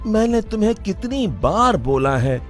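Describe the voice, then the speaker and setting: A man's voice: high voice, big pitch swings, a little slow, faint music behind, voice pushed up. A man voicing an exasperated young married son in a cartoon, who speaks in a complaining, insistent way. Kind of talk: cartoon voice acting.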